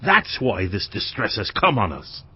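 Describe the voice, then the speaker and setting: A man's voice: fairly low pitch, huge pitch swings, a little slow, husky, full character voice. An actor playing an anxious, deferential man who has come to buy grain, in a dramatized audio Bible. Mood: troubled, fearful. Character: anxious, pleading brother, humble male grain buyer. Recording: dull audio with music.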